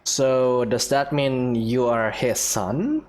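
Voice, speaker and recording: Very low-voiced woman casually commenting on a game. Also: slow, a little mellow. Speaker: game streamer.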